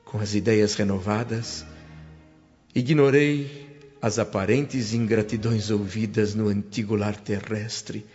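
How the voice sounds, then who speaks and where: A man playing a humble, reflective spirit who narrates a radio drama in earnest, measured phrases with a Brazilian accent. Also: medium pitch, darkish tone, natural voice. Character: reflective, humble male spirit recounting his awakening. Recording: dull audio with music behind.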